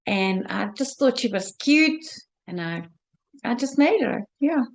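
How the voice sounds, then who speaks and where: A South African woman answers questions in a halting, hesitant way, often pausing with fillers and restarts. Her voice sits a little high. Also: animated, vocal fry. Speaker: guest quilter. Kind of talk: video call interview.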